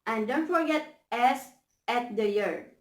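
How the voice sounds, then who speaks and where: Slow, careful young woman teacher. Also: fairly high pitch. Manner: slow, careful explaining with hesitations. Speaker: student teacher. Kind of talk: simulated classroom lesson. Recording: echoey room.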